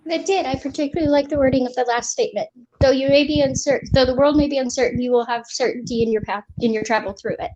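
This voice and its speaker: Woman in a group chat, explains stuff calm and clear, high voice, noisy mic. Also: lo-fi sound. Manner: articulate, explanatory, measured. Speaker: discussion participant.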